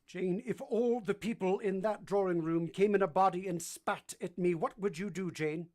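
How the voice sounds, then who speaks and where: An actor playing a brooding, commanding gentleman master of a manor in an old-time radio drama, abrupt and imperious. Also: high-pitched, normal speed, natural voice. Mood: shaken, pleading.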